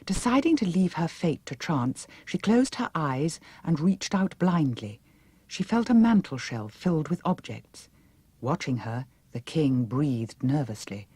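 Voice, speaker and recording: A woman with a British accent narrating a children's audiobook in an expressive, hushed and suspenseful manner. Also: low voice, unhurried, husky, dry recording. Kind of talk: dramatic children's story narration.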